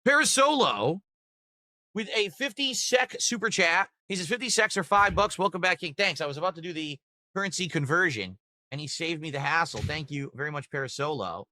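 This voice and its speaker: A man rambles through his livestream, thanking viewers for tips and defending himself in a loose, self-deprecating way. His voice is hard and bright. The sound is dry and close. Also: fairly high pitch, expressive, brisk, dry recording. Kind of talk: casual stream talk.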